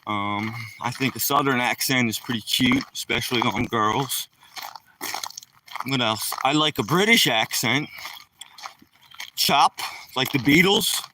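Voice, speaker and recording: A man's voice: medium pitch, a little slow, long pauses, recorded from a distance, background noise. A middle-aged man from Philadelphia with a Philadelphia accent, chatting casually to viewers on a live stream while walking by a lake. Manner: rambling, talks to viewers directly. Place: outdoors by a rural lake. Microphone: phone held while walking.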